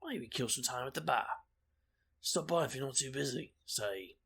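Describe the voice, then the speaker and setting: A brash male investigator with a husky, creaky voice, played by a man in an urban fantasy audio drama. He is a reckless charmer who jokes in a glib deadpan at a middling pitch. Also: moderate pace, full character voice. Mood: glib, cocky.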